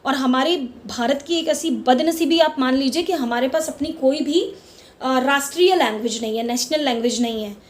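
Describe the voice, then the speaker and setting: A woman's voice: high-pitched, moderate pace. A middle-aged Indian woman hosting her own vlog, speaking with passion, repeating words for emphasis and mixing English words into her Hindi. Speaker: channel host. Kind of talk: casual opinion vlog. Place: lives in Mumbai.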